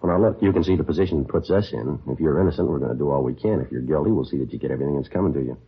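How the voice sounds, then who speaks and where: Very deep-voiced, deadpan detective. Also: male voice, very fast, slightly rough. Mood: stern, impassive. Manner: deadpan, clipped, matter-of-fact. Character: male police sergeant detective, terse, businesslike, impassive. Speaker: narrator and lead detective. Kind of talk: 1950s radio drama, scripted narration and dialogue. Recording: muffled old radio recording.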